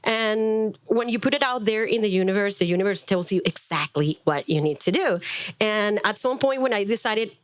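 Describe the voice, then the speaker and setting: A middle-aged woman, a native Spanish speaker, introducing herself to a group as a wellness coach in a chatty, animated, self-deprecating way. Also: mid-range voice, normal speed, dry recording. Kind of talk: informal spoken introduction before a live group.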